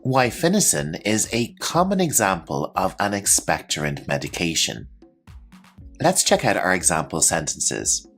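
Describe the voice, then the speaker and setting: Low-voiced man teaching, very lively pitch. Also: a little slow, faint music behind. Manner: clear, instructional, explaining terms and definitions. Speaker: English teacher presenting a medical vocabulary lesson. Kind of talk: scripted educational narration with example sentences.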